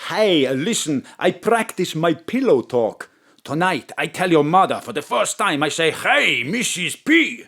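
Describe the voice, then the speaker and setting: A lovesick middle-aged chip shop owner, speaking broken English. He talks slowly, and his pitch climbs and dips freely. Every line is theatrical and effusive. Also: big pitch swings, fairly bright tone, heavily acted. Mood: eager. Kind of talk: comic radio drama.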